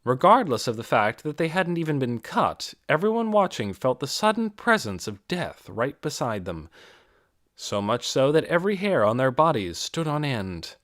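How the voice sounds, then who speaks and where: A man's voice: medium pitch, very animated, moderate pace, fairly bright tone, no room sound, studio quality. A man reading a fantasy audiobook as dramatic scripted narration.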